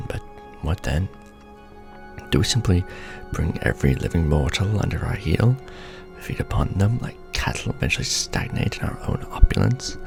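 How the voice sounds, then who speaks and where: A man playing an ancient, world-weary vampire lord in an intimate ASMR roleplay, speaking formally and grandly in a breathy near-whisper with soft menace. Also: fairly low pitch, full character voice. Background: music.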